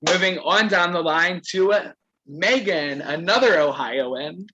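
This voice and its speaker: A man's voice: high voice, slow, bright, forceful tone, highs cut off, slight background noise. A man hosting an online game over a video call, introducing players one by one with a playful, sassy manner. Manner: playful, sassy catchphrase "period". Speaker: host of an online reality game.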